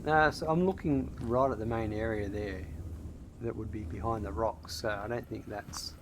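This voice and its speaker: A man's voice: on the low side, normal speed. A man with an Australian accent giving casual commentary while playing a factory game, thinking aloud and answering chat. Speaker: streamer. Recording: steady noise behind voice.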